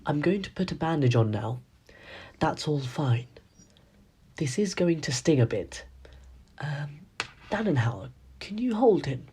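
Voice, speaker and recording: A woman playing a calm ship's doctor tending the injured in a radio play, speaking gently and quietly in a breathy, muted voice. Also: deep voice, unhurried, heavily acted. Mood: calm, soothing.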